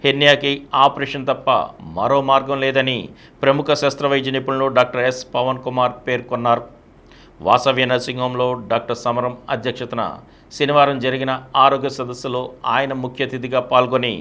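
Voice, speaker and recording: A man's voice: medium pitch, little pitch movement, fairly quick, pushed and bright. A man reading scripted news as a bulletin presenter. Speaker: news reader.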